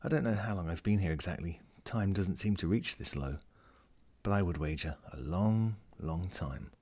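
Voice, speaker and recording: A man's voice: sits low, normal speed, mellow, breathy edge, pitched below the performer's own voice. A man voicing a lonely, wry lost ring as he reads a first-person short story in a dramatic, melancholic way. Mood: gloomy, resigned. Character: lonely, world-weary, wry sentient ring lost at sea.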